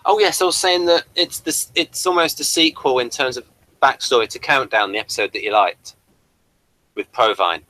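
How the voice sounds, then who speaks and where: Bright-voiced man rambling through a review. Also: medium pitch, moderate pace, dry recording, low-quality recording. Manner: hesitant, rambling, apologetic. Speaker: host of a TV review show. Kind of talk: casual review discussion.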